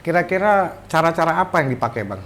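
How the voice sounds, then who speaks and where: A man's voice: a little high, animated, fast, a bit bright. A man hosting a podcast interview, agreeable and prompting questions. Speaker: podcast host.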